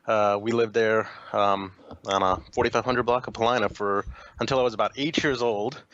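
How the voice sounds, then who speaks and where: A man's voice: on the low side, fairly even intonation, normal speed, fairly bright tone, muffled recording. A young man from Chicago, a podcast guest chatting casually with lots of fillers and restarts. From Chicago, USA. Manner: rambling, frequent fillers and restarts. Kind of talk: casual interview chat.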